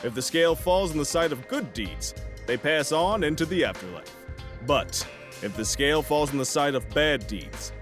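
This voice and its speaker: A man's voice: a little high, expressive, normal speed, a bit bright, faint music behind. A man playing a genial professor of antiquities, explaining in a friendly way in an audio drama.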